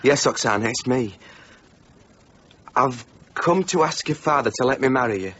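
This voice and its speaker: An actor playing a young village blacksmith, earnest and pleading, speaking softly in a radio play. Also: male voice, medium pitch, expressive, moderate pace, breathy edge. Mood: tender. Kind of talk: radio drama acting. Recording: muffled lo-fi radio recording.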